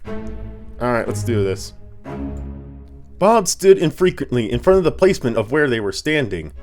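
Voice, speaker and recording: A man's voice: medium pitch, very animated, moderate pace, darkish tone, faint music behind. A man with a slightly congested voice giving a theatrical reading of his own comic prose, prone to breaking up. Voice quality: congested sinuses. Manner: theatrical, often breaking into stifled laughter. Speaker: author reading own writing. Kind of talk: dramatic reading of comedic prose.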